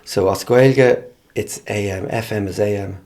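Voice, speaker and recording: An Irish man co-hosting a casual bilingual chat, speaking haltingly as he repeats phrases and explains words. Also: on the low side, steady tone, a little mellow. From Ireland. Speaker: podcast co-host.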